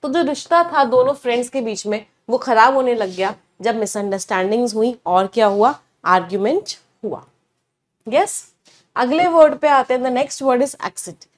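A woman's voice: fairly high pitch, moderate pace. A young woman teaching vocabulary in a studio lesson, explaining in mixed Hindi and English and answering her own questions. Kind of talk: online exam-prep lesson. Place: studio, standing before a large screen.

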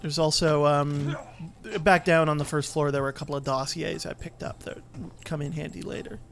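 Guy doing casual lets play commentary, bit high pitched, explains stuff in a halting way. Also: little pitch movement, vocal fry, soft background music. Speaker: video game commentator.

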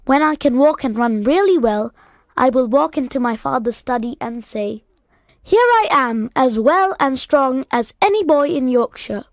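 Storybook boy, played by a woman: high-pitched, earnest, excitable and full of determination. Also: measured pace, no echo. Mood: determined, joyful. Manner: emphatic, earnest declarations.

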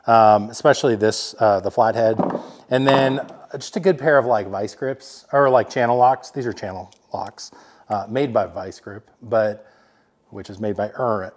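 A man in his forties hosting a vlog, talking in a laid-back, chatty way with lots of fillers from his home workshop. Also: medium pitch. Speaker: vlog host. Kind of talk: casual product rundown. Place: home workshop office, indoors. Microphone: fixed camera, standing at desk.

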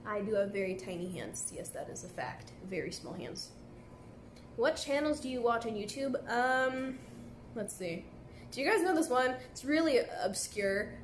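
A woman's voice: a little high, sing-song, moderate pace, slight background noise. Chatty young woman on a phone live stream. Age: young adult. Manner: chatty, laid-back, heavy use of "like" fillers. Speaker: live streamer answering viewer comments. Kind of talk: casual live stream chat. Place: indoor café at night. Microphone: phone at arm's length.

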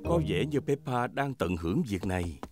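A man's voice: lowish voice, expressive, moderate pace. A man narrating a children's cartoon in a scripted storytelling style. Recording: loud background music.

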